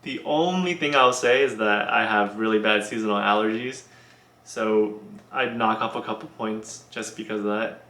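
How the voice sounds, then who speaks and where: Young man, bright tone, casual Q&A vlogger, halting with fillers, roomy echo. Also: lowish voice. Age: young adult. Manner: relaxed, halting, many ums and restarts. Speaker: YouTuber answering viewer questions. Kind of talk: casual Q&A vlog.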